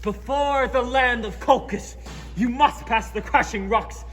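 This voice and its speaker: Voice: medium pitch, a little slow, music in the background, close to an everyday voice. A student actor playing a starving, ancient prophet, frail and pleading, in theatrical stage style. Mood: weakening, grave. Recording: echoey hall, noisy distant stage recording.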